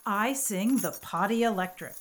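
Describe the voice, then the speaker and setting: A woman's voice: medium pitch, normal speed, lightly acted. An actress playing a flighty, theatrical woman with homemade magic ideas, in scripted comic podcast banter, emphatic and argumentative. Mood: manic, insistent. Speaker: podcast co-host.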